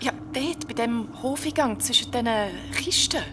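An actress playing an observant, inquisitive nineteenth-century gentlewoman in a radio drama. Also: on the high side, moderate pace, background noise, natural voice. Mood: alarmed.

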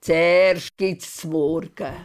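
A woman's voice: lowish voice, fairly even intonation, drawn-out words. An older-sounding woman playing a down-to-earth, teasing grandmother with a Swiss German accent in a children's radio play. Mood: brisk, teasing. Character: knowing, matter-of-fact, teasing old grandmother.

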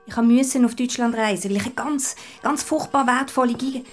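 A woman's voice: fairly high pitch, fairly quick, soft background music, lightly acted. An actress in a radio play playing a determined woman violinist and mother, speaking German with a Swiss accent. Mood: soothing. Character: determined female orchestra violinist and mother confronting a killer.

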